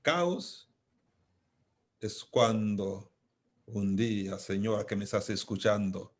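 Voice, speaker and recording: A man with a Congolese accent speaks very slowly in a fairly low voice. He delivers a reflective philosophical talk, deliberate and emphatic, circling back to key phrases with pauses between them. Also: fairly even intonation. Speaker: invited speaker, philosopher and theologian.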